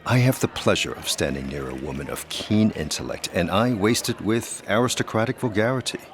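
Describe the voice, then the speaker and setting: Suave gentleman, very deep, gravelly. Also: expressive. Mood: courtly, charming. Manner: courtly, candid, deliberate formal phrasing. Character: suave, calculating ambitious aristocratic gentleman, gothic horror. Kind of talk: gothic audio drama acting.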